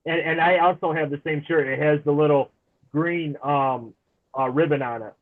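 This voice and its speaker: A man's voice: a little high, fairly even intonation, moderate pace. Chatty man in his forties on a tinny line. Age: 40s. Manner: chatty and friendly, laughs easily. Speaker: podcast guest. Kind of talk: casual interview chat. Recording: tinny phone-like remote line.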